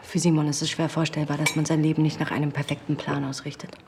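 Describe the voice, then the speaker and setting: An actress playing a headstrong, hospitable wife in a naturalistic radio drama, speaking in a brisk, pointed way. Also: female voice, low-pitched, flat delivery, background noise. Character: headstrong wife, hospitable to strangers, impatient with husband. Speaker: actor in a radio play.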